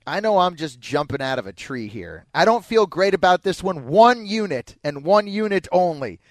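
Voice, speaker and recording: A man's voice: sits high, sing-song, normal speed, no room sound. A man with an American accent hosting sports talk radio with chatty banter.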